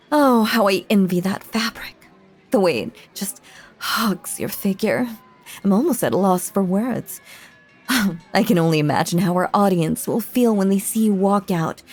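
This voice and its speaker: Woman doing a creepy lovestruck magician lady, slow breathy cooing w a bit of creak, controlling n theatrical, audio rp. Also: mid-range voice, exaggerated voice. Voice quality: breathy, often dropping to a whisper. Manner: theatrical, doting, drawn-out hypnotic cooing. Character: sinister, possessive female stage magician, lovestruck and controlling. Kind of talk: roleplay audio drama, intimate second-person monologue.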